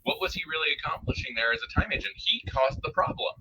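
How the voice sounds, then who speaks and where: A man giving his opinions in a casual review discussion, often pausing and restarting as he thinks. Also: a little high, expressive, noisy background. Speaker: podcast guest reviewer.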